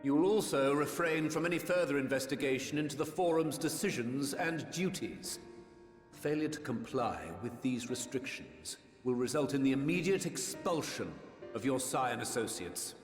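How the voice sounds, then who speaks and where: An actor playing a stern presiding council official in a video game, speaking in a formal, measured, authoritative way. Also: male voice, medium pitch, soft background music, natural voice. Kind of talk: scripted video game acting. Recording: echoey hall, orchestral strings behind.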